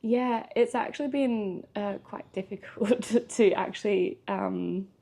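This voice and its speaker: Creaky-voiced woman. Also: medium pitch, slow pace, mellow. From Australia. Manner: chatty, with frequent ums and laughs. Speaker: guest, PhD student. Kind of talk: casual interview chat.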